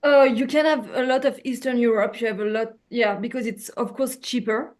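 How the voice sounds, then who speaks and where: A woman from France talks in English with a clear French accent, her voice sitting a little high. She is a guest actress on a video call, groping for words with many fillers and restarts. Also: fairly even intonation, moderate pace. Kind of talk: casual interview over video call.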